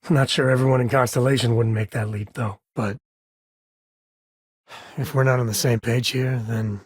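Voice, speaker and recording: Game role: wry ex-ranger and single father among the stars; heavily husky, folksy, laid-back, dry studio sound. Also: mid-range voice, dry recording. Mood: guarded, wry. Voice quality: husky, raspy. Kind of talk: video game voice acting.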